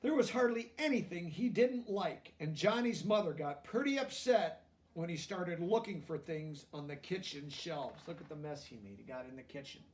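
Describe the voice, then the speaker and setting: A man reading a children's story aloud and pausing to explain things simply, like a teacher with young listeners. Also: on the high side, animated, a little mellow, distant mic. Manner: pauses to explain words and check understanding in plain language.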